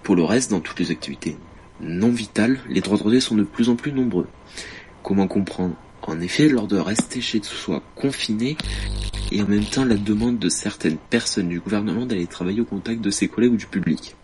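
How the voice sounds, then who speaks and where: Low-voiced man reading a political editorial. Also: noisy background. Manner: measured, critical, deliberate. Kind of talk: read radio editorial.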